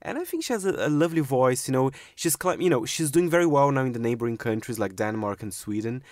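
A man presenting a music chart countdown on a radio panel, chatty and quick to laugh, with lots of fillers. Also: mid-range voice, lively intonation, a little mellow, no room sound, clean studio sound. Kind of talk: casual radio panel chat. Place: radio studio.

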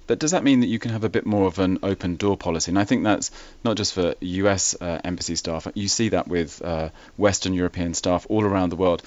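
A man's voice: low voice, fairly quick, hardly any pauses, a little mellow, no echo. A man interviewing a guest, asking long, carefully framed questions. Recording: dry, dull lo-fi sound.

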